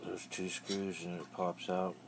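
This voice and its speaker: Low, monotone man giving a casual how-to. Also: background noise. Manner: plainspoken, halting, matter-of-fact. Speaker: hobbyist demonstrating a radio repair. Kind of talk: casual unscripted how-to narration.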